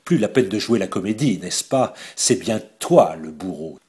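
Man voicing a desperate young gentleman thief. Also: low-pitched, animated, normal speed. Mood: enraged. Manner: pleading, then shouting in rage.

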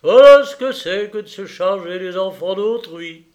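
Man acting a loud, blustering proud colonel. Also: high-pitched, a little slow, muffled recording, pitched above the performer's own voice. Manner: emphatic, declamatory.

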